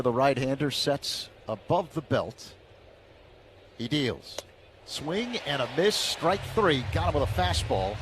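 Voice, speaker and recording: A man calling baseball play-by-play on the radio in a steady, measured way. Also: fairly high pitch, slight background noise.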